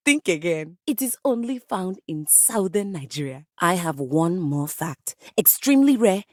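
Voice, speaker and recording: Pompous, low-pitched theatrical piano diva. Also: female voice, no room sound, studio quality. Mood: proud, showy. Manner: pompous, florid, dramatic delivery with musical flourishes. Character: grand, theatrical diva piano, a self-important concert performer. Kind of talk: video game character acting.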